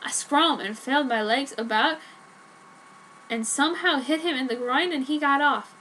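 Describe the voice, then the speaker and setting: A woman's voice: sits high, moderate pace, pushed and bright, low-quality recording. A woman giving a dramatic reading of a fan fiction story. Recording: noticeable background noise.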